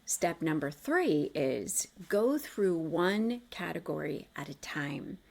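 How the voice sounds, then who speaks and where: A woman coaching listeners step by step through an exercise, encouraging and reassuring, repeating key points for emphasis. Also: lowish voice. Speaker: coach guiding a self-reflection exercise. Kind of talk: instructional coaching talk, step by step.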